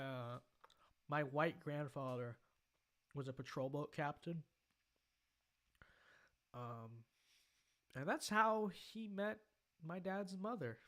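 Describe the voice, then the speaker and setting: Young American male livestreamer, higher-pitched bright voice, casual, halting and repetitive delivery. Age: young adult. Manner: halting delivery with repeated phrases. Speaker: livestream host. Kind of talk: casual livestream chat answering viewer comments.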